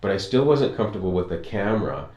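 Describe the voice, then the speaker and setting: A man hosting an interview, telling his own story in a measured, reflective way. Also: low-pitched, sing-song, a little mellow, reverberant. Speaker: interview host. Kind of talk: casual interview talk.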